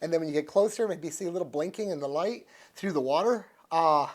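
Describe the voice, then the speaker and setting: A man's voice: fairly high pitch, fairly quick. An American man in his sixties chatting casually and unscripted as he reviews a product, thinking aloud in a folksy, easygoing manner. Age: 60s. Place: living room with couch, indoors.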